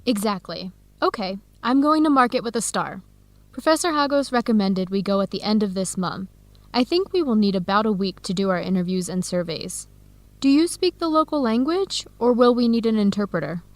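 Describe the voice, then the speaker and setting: An actress with an American accent playing an eager, friendly researcher abroad in an educational radio drama, speaking with enthusiasm. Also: female voice, medium pitch, expressive, fairly quick, dry recording, natural voice. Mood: businesslike, upbeat. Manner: enthusiastic, encouraging. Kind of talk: radio drama acting, clear educational dialogue.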